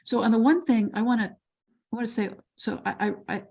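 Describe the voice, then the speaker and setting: A woman's voice: fairly high pitch, steady tone, brisk, gravelly, darkish tone, slightly rough. An older woman lecturer answering a question on a video call, thoughtful and full of restarts and repeated words. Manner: thoughtful, with frequent restarts and repetitions.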